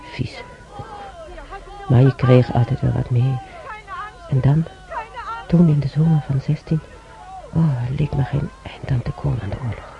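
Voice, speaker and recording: Gravelly, slow-spoken old man, musing. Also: mid-range voice. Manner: halting, muttering, drifting into whispers. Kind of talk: radio play monologue, musing to self. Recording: dull recording with faint noise.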